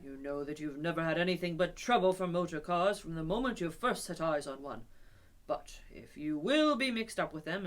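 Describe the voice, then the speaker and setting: A woman's voice: deep voice, pitched below the performer's own voice. A woman voicing a stern, earnest male water rat who scolds his friend emphatically.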